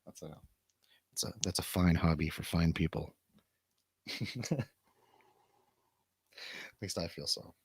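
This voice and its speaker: A man's voice: low voice, slow, pauses often, slightly rough. A man hosting a show in casual, bantering chat, rambling from one thought to the next. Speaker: radio show host and DJ.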